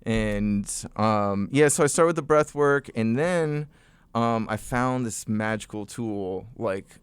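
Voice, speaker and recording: A man's voice: on the low side, smooth, no echo. A young adult man, a musician and vocal coach, chatting casually as a podcast guest with lots of fillers and restarts. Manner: relaxed storyteller, many fillers and restarts.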